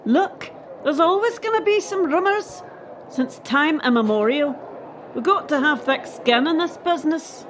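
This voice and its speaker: A woman's voice: high voice, expressive, unhurried, a little hiss or hum. A woman acting in a radio play as a dismissive, hardened gymnastics official defending her sport. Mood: dismissive, cold.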